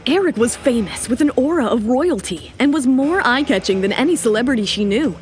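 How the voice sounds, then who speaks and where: A woman's voice: on the high side, expressive, brisk, faint music behind. Woman narrating an audio drama.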